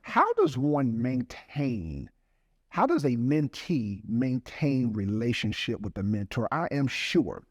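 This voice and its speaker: A man's voice: medium pitch, wildly swooping pitch, slow pace, husky, no room sound, studio quality. A man hosting a podcast interview, speaking with emphasis and enthusiasm. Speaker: podcast host.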